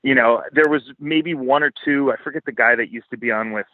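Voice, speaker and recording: Male podcast guest, mid-pitched, casual and opinionated, swears freely, talks bluntly, very little room sound. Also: fairly quick, no room sound, phone-quality sound. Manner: blunt, profane, informal. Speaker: podcast guest, financial commentator. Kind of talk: casual interview talk, opinionated commentary.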